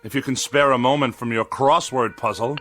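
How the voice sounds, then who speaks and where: An actor playing a haughty, indignant middle-aged misanthrope with a sarcastic, biting manner in a radio drama. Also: male voice, mid-range voice, fairly even intonation, brisk, bright, forceful tone. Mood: haughty, demanding. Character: pompous, irascible middle-aged misanthrope writer.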